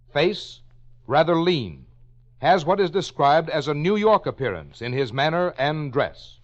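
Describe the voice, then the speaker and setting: A man announcing on a 1940s radio program, reading facts in clipped, deliberate phrases on an old recording. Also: fairly high pitch, highs cut off. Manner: clipped, deliberate fact-by-fact delivery.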